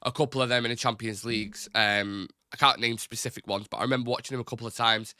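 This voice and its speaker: A man's voice: mid-range voice, fairly even intonation, fast talker, bright, forceful tone, no room sound, clean studio sound. A young man with a blocked, nasal voice, hosting a casual football live-stream chat. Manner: rambling, chatty, informal. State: congested, blocked nose. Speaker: football channel host.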